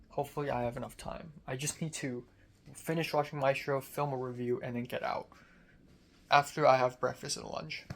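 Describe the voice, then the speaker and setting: A man's voice: mid-range voice, gravelly. A young man from Hong Kong with an American accent, talking casually in a video diary, rambling with lots of fillers and the odd swear. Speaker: vlogger.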